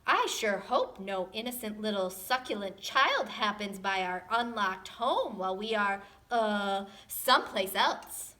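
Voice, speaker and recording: Woman putting on a sly, bright mama dinosaur. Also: mid-range voice, expressive, unhurried, few pauses. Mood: falsely innocent. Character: sly, falsely innocent mama dinosaur, adult female.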